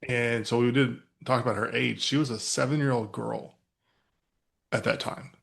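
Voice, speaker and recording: A man's voice: mid-range voice, fairly even intonation, moderate pace, creaky, a bit bright. A man in his forties, a podcast host, telling a casual story with lots of fillers and restarts. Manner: rambling, many fillers and restarts.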